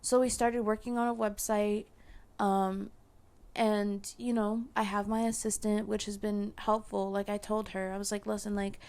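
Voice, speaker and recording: A young woman with a hoarse, failing voice, talking casually to her viewers with lots of fillers and restarts. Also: mid-range voice, little pitch movement, moderate pace, darkish tone. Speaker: content creator. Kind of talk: casual chat to camera.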